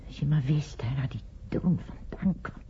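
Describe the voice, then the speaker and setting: An actress playing a weary older wife in a radio play, brooding, fearful and haunted by guilt. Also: female voice, deep voice, normal speed, mellow, slight background noise. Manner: brooding, anxious. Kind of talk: radio play acting in Dutch. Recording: muffled old radio recording.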